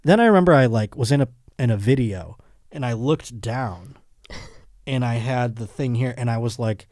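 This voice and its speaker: A man's voice: mid-range voice, moderate pace, darkish tone, no echo, clean studio sound. A middle-aged man hosting a podcast, chatting casually in a rambling, self-deprecating and playfully theatrical way. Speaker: podcast host. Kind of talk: casual comedic podcast chat.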